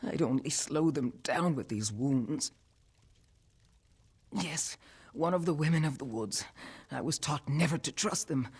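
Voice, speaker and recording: Hurt scout from a fantasy game, husky voice, strained but loyal and earnest. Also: medium pitch, exaggerated voice. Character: wounded scout, defiant, loyal, self-sacrificing. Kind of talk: video game character acting.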